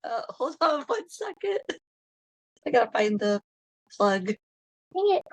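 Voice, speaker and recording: A woman's voice: fairly high pitch, big pitch swings, measured pace, slightly rough, no echo. An American woman interviewing a band over a video call in an easygoing, giggly way. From United States.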